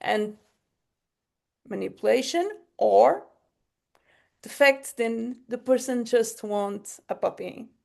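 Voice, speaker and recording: A woman's voice: a little high, slow, creaky, long pauses, a little mellow. A woman hosting a podcast, chatting casually and rambling, laughing and cutting herself off. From Portugal. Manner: rambling, laughing, self-interrupting. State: short on sleep. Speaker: podcast host. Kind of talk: casual chat.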